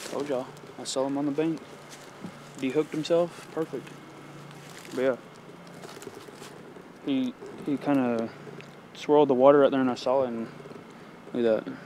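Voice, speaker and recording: A man's voice: mid-range voice, unhurried, long pauses. A man, talking casually and slangily as he films a fishing vlog outdoors by ponds. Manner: laid-back, slangy, excited over catches. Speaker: fishing vlogger. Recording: noisy outdoor camera audio.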